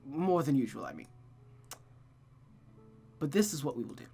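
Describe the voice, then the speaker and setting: A reader voicing a doting gentleman lover from a visual novel, gentle, wry and slightly formal. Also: mid-range voice, big pitch swings, normal speed, vocal fry, slightly rough, soft background music, heavily acted. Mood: tender, teasing.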